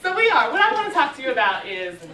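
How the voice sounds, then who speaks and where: Sassy woman hyping a loud crowd. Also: high-pitched, big pitch swings, fast. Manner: sassy, playful, loud and commanding. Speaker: motivational conference speaker. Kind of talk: spoken-word intro and live crowd motivation. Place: conference hall, indoors. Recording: echoey hall, distant, over loud music and a crowd.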